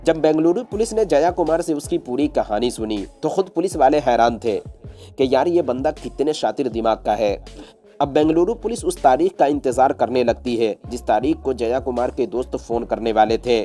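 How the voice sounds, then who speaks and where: A man's voice: mid-range voice, lively intonation, normal speed, warm tone, soft background music. A man telling a true-crime story as a scripted narrator, in a dramatic, explanatory storyteller's manner.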